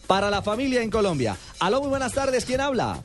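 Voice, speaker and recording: A man's voice: high voice, wildly swooping pitch, a little fast, faint music behind. A man hosting a radio sports show, taking listener calls with jovial banter.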